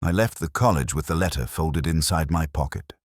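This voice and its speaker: A man playing a weary, pensive detective inspector who narrates his case in a measured, literary, brooding way. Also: rumbling low, slightly rough, dry recording, studio quality, heavily acted. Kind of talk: scripted first-person mystery narration.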